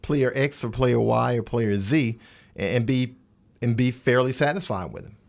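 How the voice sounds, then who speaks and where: A man's voice: medium pitch, moderate pace, vocal fry. A man in a casual sports roundtable, speaking as an analyst and often repeating words as he builds his point. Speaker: basketball radio analyst and panelist.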